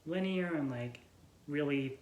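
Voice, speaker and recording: A woman's voice: sits low, measured pace, darkish tone. A young woman chatting casually and informally about books, hesitating and leaning on filler words as she goes. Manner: informal, hesitant, heavy use of "like". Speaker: book reviewer co-hosting a book tag chat. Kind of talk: casual chat. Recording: roomy echo, some background noise.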